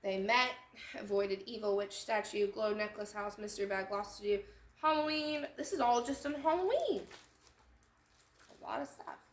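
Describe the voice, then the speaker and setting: A woman's voice: mid-range voice, normal speed. A woman hosting a children's storytime, reading a picture book aloud expressively and adding little explanatory asides. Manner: animated, acting out lines and pointing out details. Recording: echoey room sound.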